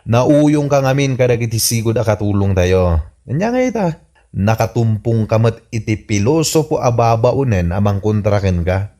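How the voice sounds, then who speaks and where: Teasing husband with animated delivery. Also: male voice, on the low side, moderate pace. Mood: mocking, teasing. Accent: Ilocano Filipino. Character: teasing, exasperated husband who needles his bossy wife. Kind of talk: Ilocano radio comedy-drama acting. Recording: music under the voice.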